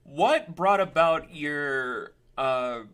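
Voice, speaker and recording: A man's voice: a little high, expressive, very slow, a bit bright, clean tone. A man in his late twenties hosting a casual interview, blunt and sarcastic, who swears freely. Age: late 20s. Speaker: podcast host interviewing a voice actor.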